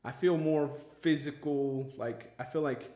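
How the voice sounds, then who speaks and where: A man's voice: fairly high pitch, steady tone, measured pace, dark tone, roomy sound. A man hosting a casual podcast chat, talking earnestly with lots of fillers and restarts. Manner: rambling, filler-heavy, earnest. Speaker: podcast host.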